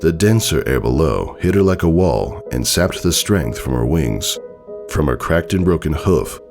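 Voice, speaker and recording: A man's voice: very deep voice, creaky. A man narrating a story as a scripted, dramatic audiobook-style reading. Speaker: fan fiction narrator.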